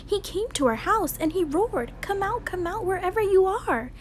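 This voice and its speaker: A woman's voice: extremely high pitch, expressive, normal speed, voice pushed up. A young woman voicing frightened little pigs in a storybook, urgent and squealing. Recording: home recording with clear background noise.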